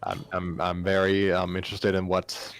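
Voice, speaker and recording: A man's voice: low-pitched, completely flat delivery, normal speed, slight background noise. A man co-hosting a casual story podcast, chatting informally with friends about what was read. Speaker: podcast co-host and story reader. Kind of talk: casual group discussion after reading fan fiction aloud.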